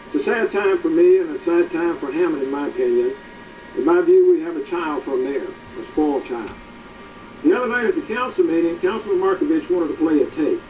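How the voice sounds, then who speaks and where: A man, a community activist hosting a local show, gives an indignant, preachy commentary with many hesitations. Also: fairly high pitch. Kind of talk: editorial commentary to camera. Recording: distant, dull, slightly noisy recording.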